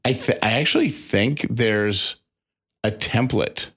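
A man's voice: mid-range voice, expressive, takes it slowly, a bit bright, slightly rough. A man co-hosting a podcast in casual conversation, often rephrasing himself as he goes. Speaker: podcast co-host.

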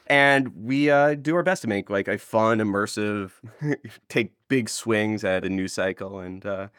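A man chatting casually as an interview guest, halting and correcting himself in an informal way. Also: on the low side, a bit bright, no echo, clean studio sound. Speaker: interview guest, audio drama creator.

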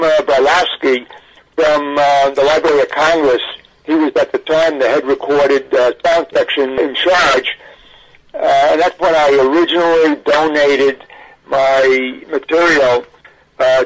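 A mature man speaking as an interview guest, in a halting, reflective, explanatory way. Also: fairly high pitch, poor audio. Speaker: audio archivist guest. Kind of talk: interview recollection.

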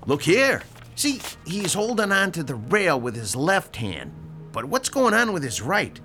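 A man's voice: fairly high pitch, huge pitch swings, measured pace, sharp tone, soft background music, exaggerated voice. An actor playing a grouchy, sarcastic male landlord who grumbles and talks bluntly, in an audio drama. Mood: musing.

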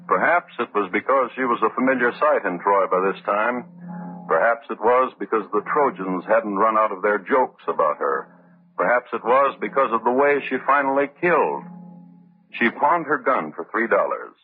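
A man narrating a true-crime radio drama as a grave, deliberate, literary storyteller. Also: on the low side, lively intonation, slightly rough. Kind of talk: scripted old-time radio narration. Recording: muffled old radio recording. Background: music.

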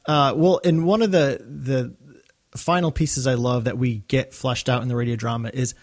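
A man with a mid-pitched voice co-hosts a casual podcast. He talks in an earnest, reflective manner, working through his thoughts conversationally. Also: steady tone, moderate pace. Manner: earnest, reflective, acts out quotes. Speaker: podcast co-host. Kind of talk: casual discussion of a radio drama.

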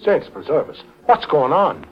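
Folksy detective's sidekick, old radio. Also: male voice, a little high, big pitch swings, normal speed, breathy edge. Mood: blunt, surprised. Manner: folksy, blunt, colloquial. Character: plain-spoken, excitable Irish-American detective's sidekick. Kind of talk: 1940s radio acting. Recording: dull vintage radio recording.

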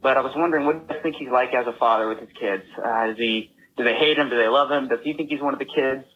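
A man from Texas with a husky voice leaving a casual voicemail as a caller, on a dull lo-fi recording. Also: mid-range voice, quick pace, a little hiss or hum. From Texas, United States.

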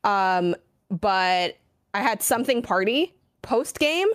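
A woman's voice: medium pitch, animated, slow pace, dry recording, clean studio sound. Slightly sick-sounding woman co-host. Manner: rambling, self-deprecating, lists ideas. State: getting sick, voice a bit rough. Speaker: podcast co-host. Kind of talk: casual chat.

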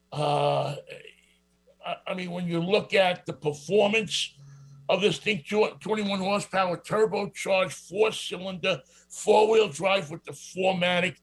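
A man's voice: high voice, a bit bright. A middle-aged man with a New York accent, hosting a radio show and reviewing a car in a rambling, casual way with lots of fillers. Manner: rambling, many fillers and restarts.

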